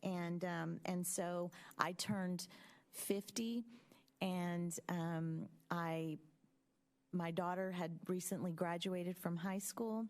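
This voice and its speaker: Low, flat-voiced woman in her fifties. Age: 50s. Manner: measured, reflective, frequent ums. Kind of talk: personal reflection at a board meeting. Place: school board meeting room.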